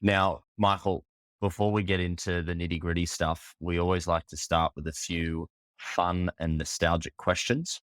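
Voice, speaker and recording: A man's voice: low-pitched, flat delivery, normal speed, a bit bright, no room sound. A man hosting an investing podcast, reading an upbeat scripted intro and then asking chatty questions. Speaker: podcast host. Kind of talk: hosting an interview.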